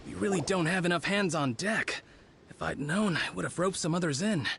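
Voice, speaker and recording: A man's voice: sits high, moderate pace, noisy background. A man voicing a brash, confident, impatient street-food cook in a video game. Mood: busy, confident. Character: cocky, brusque male street-food chef. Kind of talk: video game character dialogue. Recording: music behind the voice.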